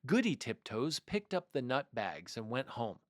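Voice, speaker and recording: A man reads a children's story aloud in a mid-pitched voice. The delivery is expressive and deliberate, with scripted storybook phrasing. The sound is dry and close. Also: no room sound.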